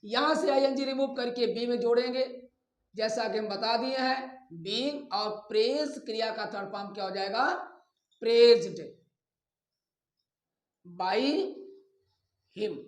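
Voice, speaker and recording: Slow, deliberate male teacher in an echoey room. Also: very high-pitched, fairly even intonation. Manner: deliberate, dictating, drawn-out repetition of examples. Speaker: English grammar teacher. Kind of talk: classroom lesson, step-by-step explanation.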